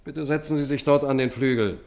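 An actor playing a stern police investigator in a German radio crime drama, questioning in a clipped, insistent way. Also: male voice, medium pitch, a little fast, a little mellow, natural voice.

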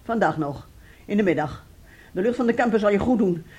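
A woman's voice: mid-range voice, expressive, brisk, a little mellow, breathy edge. A woman acting a stern, middle-aged mother in a radio play, scolding and commanding. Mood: fed up, stern. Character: stern, exasperated mother laying down the law.

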